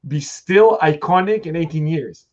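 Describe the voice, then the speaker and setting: Middle-aged man, higher-pitched, slightly muffled sound. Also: unhurried. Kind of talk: conversational live interview.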